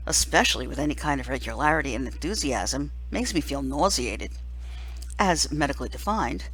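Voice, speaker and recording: A woman reading a first-person children's novel aloud like a teacher, expressively, with deliberate emphasis and dramatic pauses. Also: deep voice, husky, low-quality recording.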